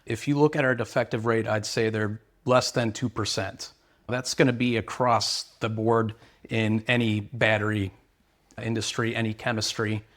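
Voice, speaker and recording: Low-voiced company man, earnest. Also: flat delivery, moderate pace, fairly bright tone. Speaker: battery company representative. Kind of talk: interview answers explaining products and service.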